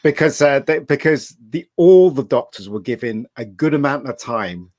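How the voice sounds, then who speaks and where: A man's voice: medium pitch, animated, moderate pace, a crowd in the background. A man chatting in a casual, jokey way as a podcast guest.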